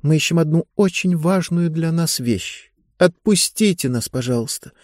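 A man's voice: fairly high pitch, expressive, moderate pace, a little mellow, dry recording, clean studio sound, pitched above the performer's own voice, lightly acted. A man voicing a polite, earnest schoolgirl in an audiobook, speaking carefully and with some hesitation. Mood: anxious pleading. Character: polite, earnest, quick-witted schoolgirl, pleading and bargaining. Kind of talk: audiobook character voice.

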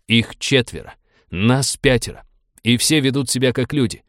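A man voicing a gruff, irritable captain who speaks in curt commands in an audiobook. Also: medium pitch, normal speed, a bit bright, no room sound, studio quality, pitched above the performer's own voice, close to an everyday voice. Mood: insistent.

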